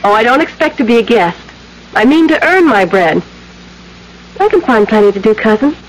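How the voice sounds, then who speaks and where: A woman's voice: on the high side, lively intonation, normal speed, natural voice. An actress plays a young country girl, eager and earnest, in a 1970s radio drama. Her manner is polite. The vintage broadcast is slightly noisy. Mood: bright, pleading.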